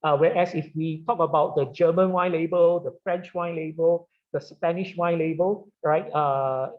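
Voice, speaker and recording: A middle-aged man with a Singaporean English accent, a wine retailer speaking on a webinar panel, halting with many "uh" fillers and "right?" tags. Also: on the high side, steady tone. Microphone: video call. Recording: dull lo-fi online call audio.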